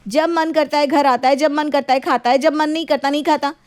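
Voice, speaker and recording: Woman acting high-voiced, assertive young wife. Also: steady tone, fairly quick, darkish tone, no echo, natural voice. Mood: exasperated, scolding. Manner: scolding, assertive. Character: sensible, independent young wife, firm and exasperated.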